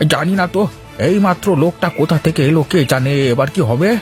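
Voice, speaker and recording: A man's voice: fairly high pitch, moderate pace, soft background music, natural voice. A man voicing a gossipy older village tea seller in a cartoon, folksy and inquisitive. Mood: alarmed. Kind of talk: cartoon voice acting.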